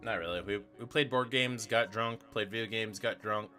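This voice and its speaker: Young male co-host, bright low-ish voice, rambling and profane, casual chat, faint music behind. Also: moderate pace. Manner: rambling, profane, self-correcting storyteller. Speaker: trivia stream co-host.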